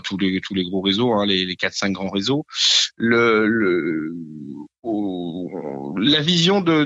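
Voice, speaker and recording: A man in his forties from Brittany talks through his career in a halting way, stacking pauses as he searches for words. His voice is bright and forceful. The recording is dull and lo-fi, dry with no room sound. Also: mid-range voice, dry recording. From France, Brittany. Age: 40s. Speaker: real estate franchise owner, guest. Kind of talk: podcast interview answers.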